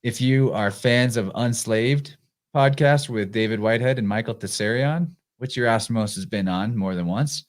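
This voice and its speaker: A man hosting a live podcast in casual chat, relaxed and reading out listener comments as he goes. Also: mid-range voice, normal speed. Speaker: podcast host.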